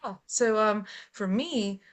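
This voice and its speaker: A young adult woman, a metal singer, talking openly as an interview guest in a relaxed, chatty way with frequent fillers. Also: mid-range voice, takes it slowly. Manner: relaxed, open storytelling with fillers. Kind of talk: casual interview chat.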